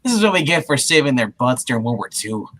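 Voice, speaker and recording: A man's voice: medium pitch, sing-song, fast, fairly bright tone. A man casually reading comic-book lines aloud in a wisecracking way.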